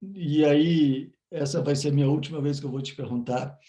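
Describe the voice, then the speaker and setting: A man interviewing a guest, his voice slightly high but soft and mellow. He is recorded in a roomy space with an audible echo, and he asks his questions deliberately. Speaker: interviewer, coach.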